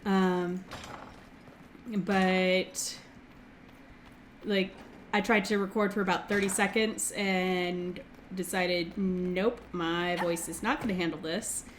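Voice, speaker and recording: Slightly hoarse woman hosting a let's play. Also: on the low side, fairly even intonation, a little hiss or hum. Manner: reads game text aloud quickly, filler-heavy. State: recovering from throat infection, slightly hoarse. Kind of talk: casual gameplay commentary.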